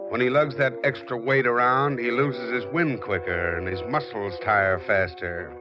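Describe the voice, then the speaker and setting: An American man playing a shrewd, world-weary, sympathetic heavyset private detective in a 1940s radio drama, measured and matter-of-fact. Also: lowish voice, close to an everyday voice. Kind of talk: 1940s radio acting, first-person detective narration. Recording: dull 1940s radio recording, music behind. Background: musical instrument.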